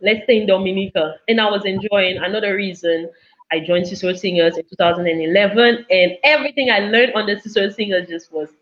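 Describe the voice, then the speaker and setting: A woman's voice: mid-range voice, fairly even intonation, fast talker, fairly bright tone. Young woman chatting casually, acts out dialogue as she tells stories, kinda dull lo-fi sound. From Cameroon. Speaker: guest. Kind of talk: casual chat, telling personal anecdotes. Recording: dull, lo-fi audio.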